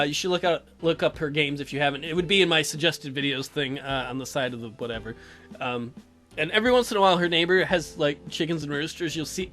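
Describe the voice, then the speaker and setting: Lively let's player reading a visual novel, bright voice, teasing asides, faint music. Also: mid-range voice. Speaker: let's play host. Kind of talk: gameplay commentary with dramatic read-aloud.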